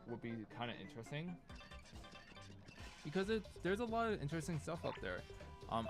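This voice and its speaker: A man whose first language is Cantonese, talking casually while playing a game. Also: mid-range voice. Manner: chatty, thinking out loud. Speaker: gaming streamer. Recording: game music clearly behind voice. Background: video game music.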